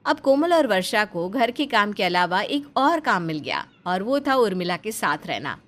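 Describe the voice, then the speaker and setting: A woman's voice: medium pitch, very animated, normal speed, a bit bright. A woman reading scripted narration as the storyteller of a cartoon family tale.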